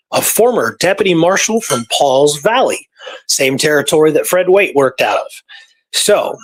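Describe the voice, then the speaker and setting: A man co-hosting a casual history discussion, informal and keen on his research, sometimes reading from documents. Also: sits high. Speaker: co-host and researcher. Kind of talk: casual history discussion with read-out archive passages.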